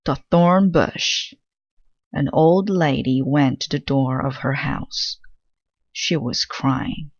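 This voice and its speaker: A woman with Korean as her first language, reading a children's picture book aloud slowly and gently. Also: low-pitched, no room sound, lo-fi sound. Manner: slow, gentle, careful reading. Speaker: storybook reader.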